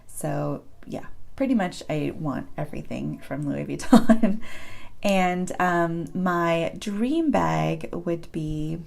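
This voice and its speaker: A woman in her thirties with a hoarse voice, chatting casually to her viewers as she answers their questions, with frequent ums. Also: fairly low pitch, slow pace, a little mellow. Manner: chatty, many ums, open about personal experiences. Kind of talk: casual chat to camera.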